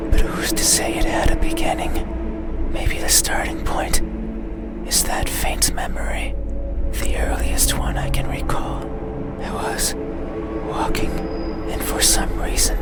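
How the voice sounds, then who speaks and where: A man voicing a lonely, brooding, menacing monster in a breathy, halting whisper, in an ASMR game monologue. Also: extremely high pitch, exaggerated voice. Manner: slow, deliberate, halting whisper. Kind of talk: ASMR visual novel monologue. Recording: music behind the voice.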